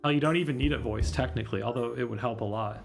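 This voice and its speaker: A man's voice: medium pitch, racing, gravelly. A man doing casual livestream commentary as he reads a game, rambling and thinking aloud. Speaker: streamer reading a visual novel aloud. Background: faint game music.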